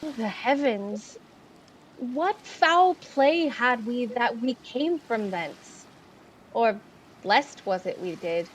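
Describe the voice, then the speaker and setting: A woman's voice: fairly high pitch, animated, slow, slight background noise, rough recording. A woman playing a dutiful, tender-hearted young daughter of an exiled duchess in Shakespearean audio drama, speaking earnestly and with exclamation. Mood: distressed, tender.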